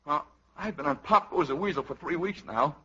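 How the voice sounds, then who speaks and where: A man's voice: a little high, fairly quick, pushed and bright, breathy edge. An actor playing a whiny, frazzled ice cream salesman at breaking point, pleading in a 1950s radio comedy. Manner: pleading, whining. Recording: noisy, dull 1950s radio recording.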